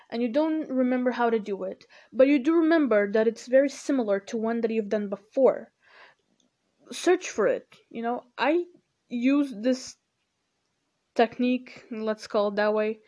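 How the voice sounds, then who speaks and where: Slow-talking teen girl. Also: on the high side, a little mellow. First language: Portuguese. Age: teens. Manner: rambling, many fillers like "you know" and "like". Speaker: podcast host. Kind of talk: casual study-tips talk.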